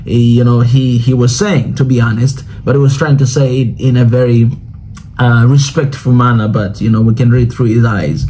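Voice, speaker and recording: A man's voice: mid-range voice, flat delivery, moderate pace, warm tone, a little hiss or hum, low-quality recording. A man from Tanzania, a Swahili speaker, hosting a reaction video in a loose, rambling way and often asking "you know what I'm saying". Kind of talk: casual commentary reacting to news clips.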